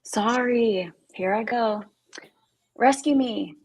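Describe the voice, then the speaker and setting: A woman playing a cynical, dry teenage camp counselor with a husky voice. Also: a little high, takes it slowly, darkish tone, heavily acted, poor audio. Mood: mock apologetic. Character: cynical, dry eighteen-year-old girl camp counselor. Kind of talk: live table read of a film script.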